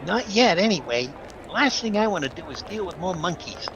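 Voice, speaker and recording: A man's voice: sits high, big pitch swings, moderate pace, background noise. A man playing a cheeky, henpecked wisecracking husband in a 1940s-style radio comedy. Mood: grumbling.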